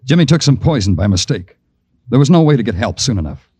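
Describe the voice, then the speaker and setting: An American actor playing a brooding, wry police detective in an old radio crime drama, with slow, deliberate delivery. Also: male voice, medium pitch, very animated, darkish tone, slightly rough, no room sound. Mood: grave, pressing. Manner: slow, deliberate, hard-boiled noir delivery. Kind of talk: 1940s-50s radio crime drama acting.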